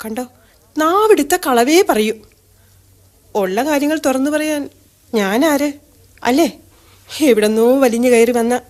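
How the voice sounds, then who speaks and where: A woman's voice: sits high, unhurried, long pauses, a little mellow. An actress playing a young wife sulking and scolding her husband in a radio drama. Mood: sulky. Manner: sulky, scolding.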